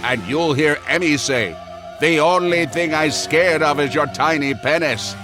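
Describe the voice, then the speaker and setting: A man announcing an audio drama serial in a theatrical old-time radio style, with hammy, drawn-out emphasis. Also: fairly high pitch, over music.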